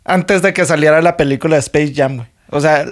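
A man's voice: on the high side, a little fast. Laid-back Mexican young man, slangy. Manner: laid-back, jokey, slangy, laughs often. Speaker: podcast co-host. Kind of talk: casual chat.

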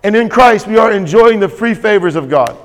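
A man's voice: high-pitched, expressive, fairly quick. A man preaching a sermon as pastor, emphatic and repetitive, calling for responses from the congregation. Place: church sanctuary. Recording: long hall reverb.

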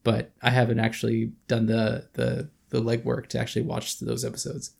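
A man's voice: medium pitch, completely flat delivery, normal speed, creaky, darkish tone, breathy edge. A man in his thirties co-hosting a podcast, talking in a thoughtful, hesitant way with many fillers. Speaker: podcast co-host. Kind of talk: casual chat.

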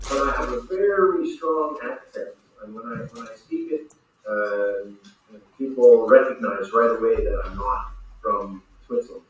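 A man's voice: medium pitch, a bit bright, heavy reverb, far from the mic, rough recording. A man answering interview questions as a guest referee, hesitant, with many fillers and restarts.

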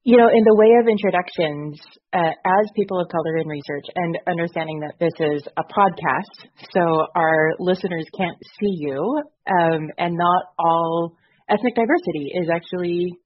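A woman hosts a podcast interview, moving things along with short, encouraging prompts. Her voice is lowish and a little husky, and the sound is dull and lo-fi. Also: normal speed. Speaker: podcast host. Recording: dull lo-fi audio.

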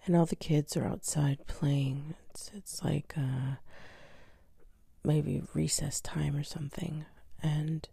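A woman hosting her own podcast, her voice raspy and heavily gravelly from just waking up. She speaks slowly and softly, often half-whispering, with a dark, husky tone and plenty of hesitations. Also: sits low, flat delivery, no room sound, clean studio sound. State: raspy just-woken morning voice. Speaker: podcast host. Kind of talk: casual solo storytelling.

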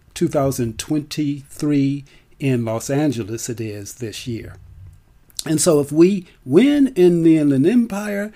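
An older man speaks at a slow pace in a mellow, relaxed voice. He delivers a personal monologue as a working performer, leaning on key words and repeating them deliberately for emphasis. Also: fairly high pitch. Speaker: actor and performer.

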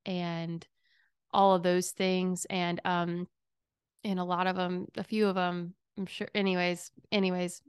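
A young adult woman hosting her own podcast, talking casually and emotionally with a slightly raspy voice, rambling with many fillers. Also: no room sound, studio quality. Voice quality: raspy, low. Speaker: podcast host. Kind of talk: reflective solo podcast chat. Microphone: studio microphone.

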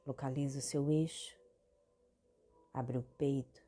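A woman with a Brazilian accent hosting a guided meditation, giving calm instructions in short phrases with long pauses between them. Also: very deep voice, husky. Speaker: guided meditation podcast host. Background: meditation music.

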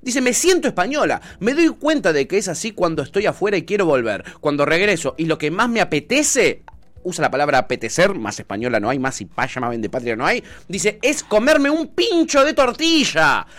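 A man's voice: high voice, sing-song, fairly quick, fairly bright tone. A man with a Rioplatense Spanish accent co-hosting a casual chat show, campy and mocking. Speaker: co-host.

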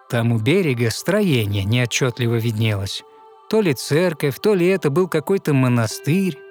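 A man narrating a fairy tale in verse for an audio play, with measured, rhythmic recitation. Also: on the high side, a little mellow.

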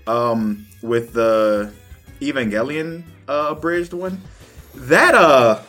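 A man co-hosting a casual podcast, talking in an animated, emphatic way. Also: mid-range voice, slow, faint music behind. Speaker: podcast co-host. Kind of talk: casual chat.

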